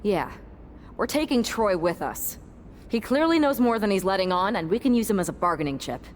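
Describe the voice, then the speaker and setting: A woman's voice: medium pitch, animated, a little fast, a little hiss or hum, natural voice. A woman voicing a tough wrestler, blunt and menacing.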